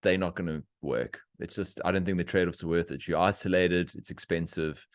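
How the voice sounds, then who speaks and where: A middle-aged man from South Africa hosting a podcast, talking in opinionated, animated rants with laughter. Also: low voice, fairly quick, no room sound, studio quality. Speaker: podcast host. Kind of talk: casual interview chat.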